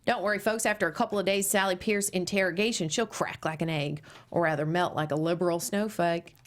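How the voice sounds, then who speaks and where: A woman reading the part of a conservative middle-aged mother who hosts a talk radio show, brisk and prying. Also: on the low side, fairly even intonation, close to an everyday voice. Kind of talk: table read.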